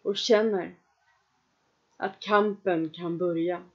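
A woman leading a guided trance meditation, giving calm, slow instructions in the second person with long pauses. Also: fairly low pitch, lo-fi sound.

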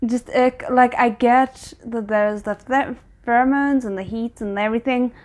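A woman's voice: fairly high pitch, steady tone, normal speed, darkish tone. A woman in her twenties giving casual, candid and emphatic reaction commentary in a bedroom. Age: 20s. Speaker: reaction video host. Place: small bedroom indoors.

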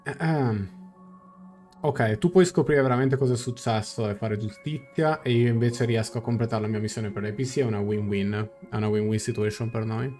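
A man's voice: medium pitch, normal speed, mellow. A young man casually translating game dialogue aloud and musing as he goes, in a live reaction stream. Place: small indoor room. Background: game music.

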